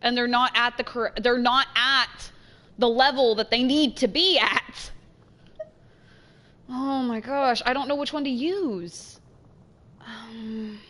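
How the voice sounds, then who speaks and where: A woman's voice: a little high, a bit bright. A woman giving casual let's play commentary, thinking aloud in informal, slangy speech.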